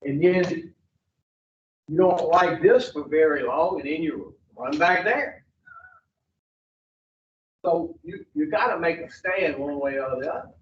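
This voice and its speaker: A man's voice: on the high side, lively intonation, a little slow, pauses often, a little hiss or hum. A man, bluntly questioning new clients at an addiction treatment intake. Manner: blunt, confrontational, drawling. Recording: far-off phone-like audio in an echoey room.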